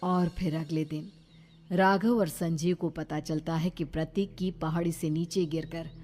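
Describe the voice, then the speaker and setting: A woman's voice: deep voice, fairly even intonation, a little slow, dark tone, slight background noise. A woman giving dramatic, scripted narration of a horror story.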